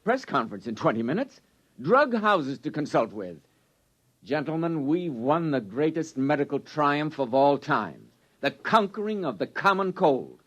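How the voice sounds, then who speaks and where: A pompous scientist, swooping pitch. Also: male voice, big pitch swings, a little slow, a bit bright, exaggerated voice. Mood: pompous, placating. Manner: pompous, declamatory. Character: self-satisfied male senior scientist, grandiose, placating glory-seeker. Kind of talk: 1950s radio drama acting. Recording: vintage radio recording.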